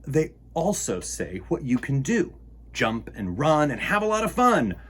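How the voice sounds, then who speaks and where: A man's voice: on the high side, measured pace, slightly rough. A man hosting a children's storytime, reading a picture book aloud and chatting playfully and theatrically to young listeners. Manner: animated, theatrical, playful exclamations and gasps; addresses young listeners directly. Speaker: host of a children's storytime show.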